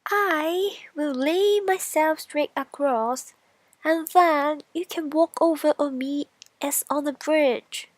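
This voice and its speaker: An excitable little straw from a children's storybook, played by a woman storyteller. The voice is high and exclamatory, with a proud, dramatic manner and an unhurried pace. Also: no room sound. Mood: eager, confident. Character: excitable talking straw, proud survivor, eager and plaintive.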